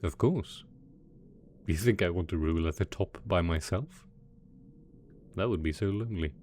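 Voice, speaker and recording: A deep-voiced mad scientist supervillain, acted by a man for an ASMR roleplay. He is possessive and doting, coaxing softly with a sweetly sinister manner. Some background noise sits behind the voice. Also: moderate pace, close to an everyday voice. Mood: affectionate, soothing. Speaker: voice actor in audio roleplay.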